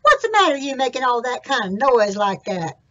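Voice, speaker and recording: A woman voicing a lazy but kind young country boy in a folk tale, with loud folksy exclamations. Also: sits high, big pitch swings, fairly quick, lo-fi sound, pitched above the performer's own voice. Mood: concerned.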